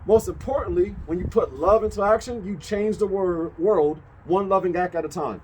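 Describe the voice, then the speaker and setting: A man's voice: sits high, normal speed, darkish tone, a little hiss or hum, close to an everyday voice, rough recording. A man voicing a caring, upbeat female school bus driver from a storybook, giving emphatic, instructive advice. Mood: encouraging, firm.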